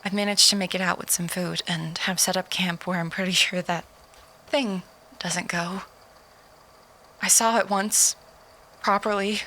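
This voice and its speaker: Voice actress as scared survivor woman doing an audio log, slow n halting, bright tense voice, bit of noise behind. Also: on the low side, steady tone, low-quality recording. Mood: shaken, wary. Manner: halting, hesitant, whispery at times. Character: frightened woman survivor recording a log of horrors. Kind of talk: audio drama found-log monologue.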